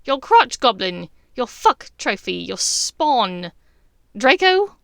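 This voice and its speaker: A woman voicing a middle-aged dark witch, sneering, taunting and sharp, in a storybook reading. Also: on the high side, sing-song, takes it slowly, gravelly, dry recording, clean studio sound, exaggerated voice. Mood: sneering, mocking.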